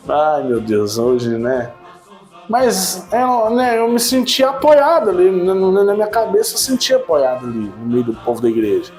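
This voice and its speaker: A man in his thirties giving a casual personal church testimony, animated at times and subdued at others, often tagging his sentences with a questioning "né". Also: sits high, measured pace, soft background music. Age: 30s. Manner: animated storytelling, frequent "né?" tags, swings between excited and subdued.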